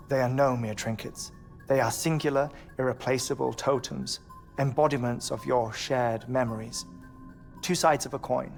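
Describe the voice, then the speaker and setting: A man performs a calm, learned male scientist in a cinematic game scene. His mid-pitched voice has some creak, and he picks formal words with deliberate care. Soft music plays behind him. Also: close to an everyday voice. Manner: formal, precise, deliberate wording. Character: calm, scholarly male scientist, solemn and articulate. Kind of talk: cinematic video game dialogue.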